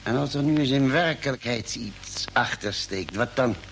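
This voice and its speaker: An actor playing a calm, thoughtful doctor on a space fleet in a radio drama, speaking in a measured, slightly hesitant, soothing way. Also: male voice, mid-range voice, a little hiss or hum, natural voice. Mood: concerned. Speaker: actor and first-person narrator. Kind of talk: mid-century Dutch radio drama acting.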